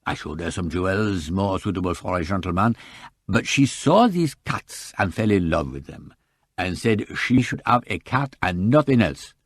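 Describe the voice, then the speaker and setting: A man's voice: deep voice, expressive, moderate pace, dry recording, clean studio sound. A man voicing a chatty young Frenchwoman working as a shop assistant, with a French accent and a raised, lilting voice. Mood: eager. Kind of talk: audiobook character voice.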